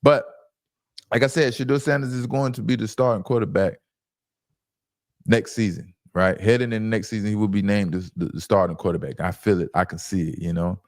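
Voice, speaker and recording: A man's voice: lowish voice, steady tone, a little fast, no room sound, clean studio sound. A man hosting a sports reaction show, giving opinionated commentary in an emphatic, insistent way and repeating phrases for stress.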